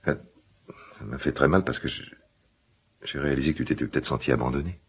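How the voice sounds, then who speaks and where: An actor playing a middle-aged father in a radio drama, guilt-ridden and awkwardly tender, speaking hesitantly in a gravelly smoker's voice. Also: very deep voice, heavily acted. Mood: pained, tender. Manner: hesitant, halting, confiding. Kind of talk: naturalistic French radio drama dialogue.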